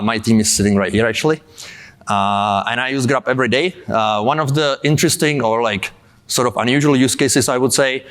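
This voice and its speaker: A man's voice: mid-range voice, fairly bright tone. Man, from czech republic, thirties, has a cold, conference speaker, casual, filler-heavy. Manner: casual, many fillers and asides. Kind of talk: tech conference talk.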